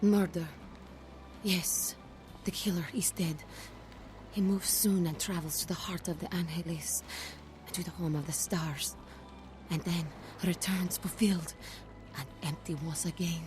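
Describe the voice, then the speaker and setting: An actress playing a cryptic female seer in a video game, lost in visions, halting and breathy. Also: on the low side, fairly even intonation, music in the background, natural voice.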